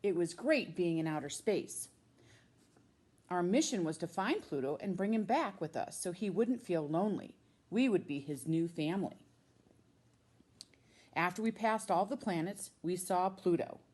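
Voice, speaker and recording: Animated American woman reading a children's story. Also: on the low side. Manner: expressive, drawn-out emphasis on key words. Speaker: middle school science teacher reading a children's book. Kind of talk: read-aloud storytelling for children.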